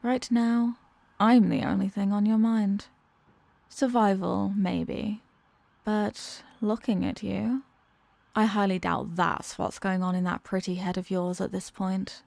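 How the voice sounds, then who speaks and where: A woman playing a seductive, dominant villain in an intimate ASMR roleplay, teasing and sultry, often slipping toward breathy whispers. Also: medium pitch, steady tone, takes it slowly, a little mellow, dry recording. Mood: mocking, flirtatious. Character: seductive, dominant female villain toying with her hostage. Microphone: close microphone in a dry space.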